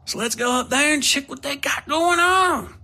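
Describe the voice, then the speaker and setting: Man acting out an angry, high-strung scheming roughneck. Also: moderate pace, bright, forceful tone, exaggerated voice. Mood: goading. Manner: coarse, spitting, rural slang. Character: angry, scheming male laborer, foul-mouthed and goading. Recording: music under the voice.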